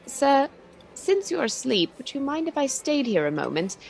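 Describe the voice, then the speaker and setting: A woman's voice: high-pitched, big pitch swings, normal speed, gravelly, full character voice. A woman playing a chatty, kindly, tired cafe waitress in an old-fashioned radio play, polite and confiding.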